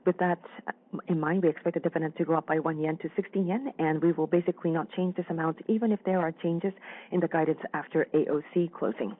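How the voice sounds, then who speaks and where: A speaker interpreting a formal financial results presentation on a conference call, with measured, halting phrasing. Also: mid-range voice, fairly even intonation. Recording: dull, band-limited phone-line audio.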